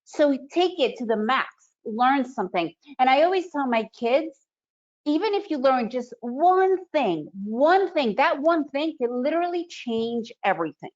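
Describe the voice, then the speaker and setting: A woman's voice: high-pitched, unhurried. Upbeat woman teaching a trading webinar. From Soviet Union. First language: Russian. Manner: energetic, motivational, conversational with frequent "right?". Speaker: trading educator presenting a webinar. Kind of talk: online presentation. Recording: slightly dull lo-fi webinar audio.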